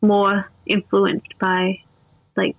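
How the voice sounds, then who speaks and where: A woman's voice: mid-range voice, completely flat delivery, extremely slow, dry recording, narrow, tinny audio. An Australian woman chatting casually, hesitant, with frequent laughs. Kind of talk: casual chat.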